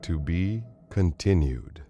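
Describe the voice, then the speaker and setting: An actor narrating a pulp superhero radio serial in a dramatic, scripted storytelling style. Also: male voice, low voice, animated, slow, dull audio, loud music behind the voice.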